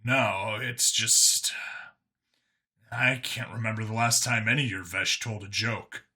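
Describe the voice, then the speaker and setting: A man's voice: fairly low pitch, steady tone, slow, sharp tone, slightly rough, full character voice. A man voicing a wry, wary human cop in an audiobook, informal and questioning. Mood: surprised. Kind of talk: audiobook character acting.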